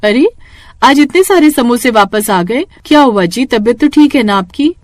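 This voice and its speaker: Woman playing a sweet young wife, lively intonation. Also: a little high, moderate pace, no echo, clean studio sound, natural voice. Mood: worried. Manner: sweet, coaxing, affectionate. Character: loving, playful pregnant young wife. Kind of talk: acted dialogue in a Hindi moral cartoon story.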